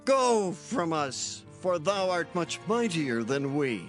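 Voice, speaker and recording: A man playing an imperious Philistine king in a dramatized scripture reading, declaiming in drawn-out phrases. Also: fairly high pitch, big pitch swings, faint music behind, exaggerated voice. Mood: stern.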